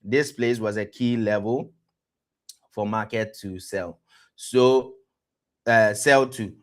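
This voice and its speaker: A man's voice: on the low side, slow pace. West African man teaching a trading lesson. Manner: quizzes listeners with rhetorical "what?" prompts; repeats words and restarts phrases. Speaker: trading educator, stream host. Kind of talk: live-streamed trading lesson.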